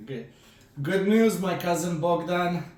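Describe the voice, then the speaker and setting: A man's voice: on the high side, animated, slow, darkish tone, recorded from a distance. A man of about forty from Romania, a comedian guest chatting casually and jokingly. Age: around 40. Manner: joking, laughs at his own lines. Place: small room at home, indoors. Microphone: podcast microphone on table arm. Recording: echoey room, slightly off mic.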